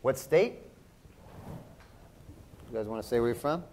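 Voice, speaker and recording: A man's voice: medium pitch, expressive, a little slow, darkish tone, slight background noise. Upbeat man hosting a kids' Q&A. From Chicago. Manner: upbeat, encouraging, repeats the kids' questions. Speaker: event moderator. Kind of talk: hosting a Q&A for children.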